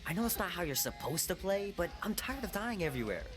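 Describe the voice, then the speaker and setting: Nerdy, easygoing teenage boy, animated. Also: sits high, fast, loud music behind the voice. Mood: musing. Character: nerdy, easygoing thirteen-year-old boy, fond of rock. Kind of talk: naturalistic video game acting.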